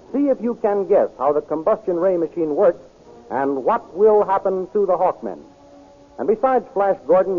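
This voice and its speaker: A man with an American accent announcing and narrating an old radio serial in a dramatic, emphatic manner. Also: a little high. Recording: tinny, noisy old radio recording.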